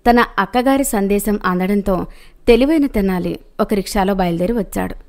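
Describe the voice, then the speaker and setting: A woman's voice: mid-range voice, normal speed, darkish tone. A woman reading a scripted moral story as its narrator.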